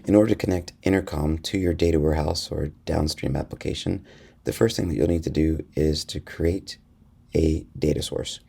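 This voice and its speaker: A man narrating an instructional software screencast in a measured, step-by-step explanatory way. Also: extremely low pitch, steady tone, gravelly, breathy edge. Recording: voice-over with faint background noise.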